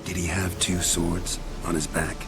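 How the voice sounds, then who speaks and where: An actor playing a gruff, world-weary monster hunter in a video game, terse and deadpan with a gravelly voice. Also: male voice, measured pace, loud music behind the voice, poor audio. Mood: measured, gentle. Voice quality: low, gravelly. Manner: terse, deadpan, clipped sentences. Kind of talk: video game character acting.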